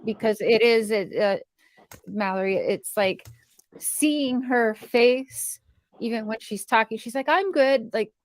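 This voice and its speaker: A woman chatting casually in an earnest, protective and halting way, as on a livestream. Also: on the high side, dry recording.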